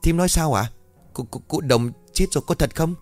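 A man's voice: lowish voice, expressive, fairly quick, faint music behind, voice pushed up, natural voice. A man voicing a frantic middle-aged village mother who panics and calls out for her son. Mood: flustered. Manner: panicked, wailing, calling out.

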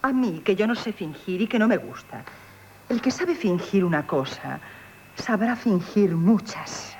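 An actress playing a coquettish, self-assured innkeeper in Castilian Spanish radio theatre, teasing and mock-demure. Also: female voice, medium pitch, lively intonation, measured pace, darkish tone, muffled recording. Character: coquettish, sly, self-assured female innkeeper charming men. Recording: noisy old radio drama recording.

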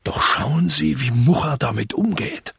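An actor playing an eccentric, zealous intellectual who lectures emphatically in rhetorical questions in an audio drama. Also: male voice, a little high, lively intonation, measured pace, creaky, fairly bright tone, heavily acted. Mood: absorbed, pondering. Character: eccentric male intellectual, zealous expert explaining an artwork. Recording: music playing behind the voice.

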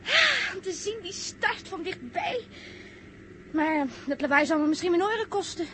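A woman playing an eager, chatty young woman in a 1960s Dutch radio drama, gushing and stammering with excitement. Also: very high-pitched, moderate pace, exaggerated voice. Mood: excited, longing. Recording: faintly noisy 1960s radio recording.

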